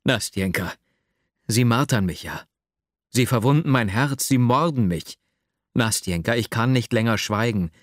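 A man's voice: medium pitch, a little slow, dry recording, studio quality, natural voice. A man playing an earnest, lovesick young man in an audiobook, agitated, self-doubting and urgent. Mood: impassioned. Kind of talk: dramatic literary audiobook reading.

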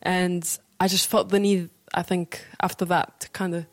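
Young British-accented woman, low-pitched and reflective. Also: flat delivery, normal speed, no room sound. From Portugal. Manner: reflective, thinks aloud. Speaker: musician being interviewed. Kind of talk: casual interview chat.

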